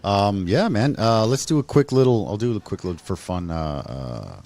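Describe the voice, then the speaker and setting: A man's voice: fairly low pitch, lively intonation, moderate pace, a little mellow. A man hosting a casual podcast interview, laid-back and full of easy affirmations like okay and beautiful. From Mexican family, raised in California. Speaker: podcast host. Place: bar venue, live stream.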